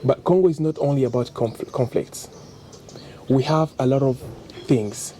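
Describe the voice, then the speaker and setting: A man's voice: mid-range voice, normal speed, mellow. A man interviewing guests in a casual talk, encouraging them with short affirmations. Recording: faint background noise.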